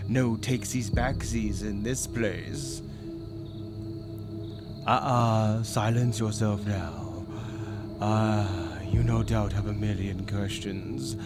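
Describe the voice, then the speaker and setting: A man voicing an ancient, smug demon tempter in a dark romance audio roleplay, with playful, sinister, theatrical delivery and drawn-out teasing words. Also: on the low side. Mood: mocking amusement. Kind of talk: dark romance ASMR character monologue. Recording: voice over dark ambient music. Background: loud dark ambient music.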